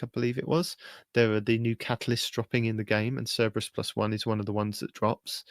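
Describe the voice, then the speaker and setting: A man's voice: on the low side, no room sound, studio quality. A man co-hosting a gaming podcast, talking through guides and tips in an informal, explanatory way. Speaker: podcast co-host. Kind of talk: casual gaming podcast chat, running through video guides.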